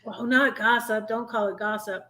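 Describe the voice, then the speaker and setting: A woman hosting a casual live chat, telling personal stories in a halting, rambling way. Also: fairly high pitch, fairly even intonation, sharp tone, a little hiss or hum. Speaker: live stream host.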